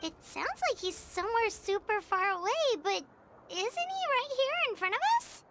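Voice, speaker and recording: A woman voicing a tiny, childlike fairy companion in a video game, squeaky, perky and curious. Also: big pitch swings, moderate pace, heavy background noise, exaggerated voice. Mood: baffled. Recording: game music behind the voice.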